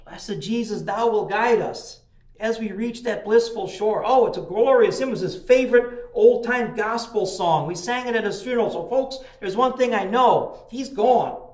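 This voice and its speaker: A man's voice: high voice, moderate pace, a little mellow, reverberant. An older man preaching a midweek sermon in a small church, telling folksy stories with frequent restarts. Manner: folksy storytelling with repeated restarts. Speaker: pastor. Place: small church chapel, indoors.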